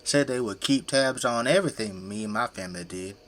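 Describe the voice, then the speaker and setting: A man's voice: medium pitch, fairly quick, fairly bright tone, slight background noise, lightly acted. An actor playing a folksy, boastful, tipsy middle-aged father who fights zombies with a flamethrower in an audio drama. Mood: candid, reminiscing. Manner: folksy, colloquial, easygoing bragging.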